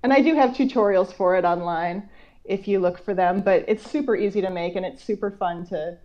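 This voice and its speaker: A woman's voice: medium pitch, a little fast. Female children's author, US, conversational guest, enthusiastic explainer, muffled call-quality recording. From United States. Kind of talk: conversational interview chat about books. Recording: dull, lo-fi video call audio.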